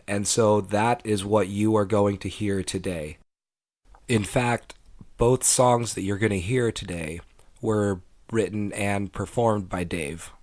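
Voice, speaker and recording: A man with a fairly low voice hosts his podcast in an earnest, measured way, pausing between phrases. He has a slight cold that leaves him a bit stuffy. The sound is dry and close. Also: no room sound. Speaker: podcast host. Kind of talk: podcast intro and interview.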